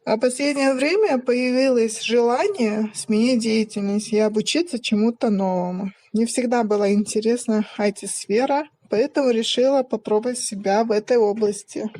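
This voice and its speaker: Calm woman of 33, slightly high. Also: normal speed, few pauses. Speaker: student introducing herself.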